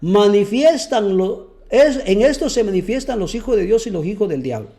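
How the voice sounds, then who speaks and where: High, lively-voiced man preaching. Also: moderate pace. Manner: earnest, repeats words when searching. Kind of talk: testimony and bible teaching.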